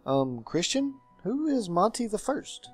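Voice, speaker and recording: A man's voice: high voice, very animated, unhurried, slightly rough, soft background music, pitched above the performer's own voice, heavily acted. A man voicing a curious young male academy student in a game, with a questioning manner.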